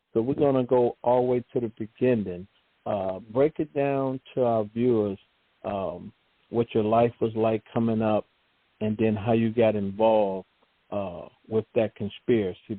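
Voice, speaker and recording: Man, show host, energetic, casual slang, phone-like sound, dry. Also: lowish voice, moderate pace, dry recording. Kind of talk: talk show hosting. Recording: dry, tinny phone line.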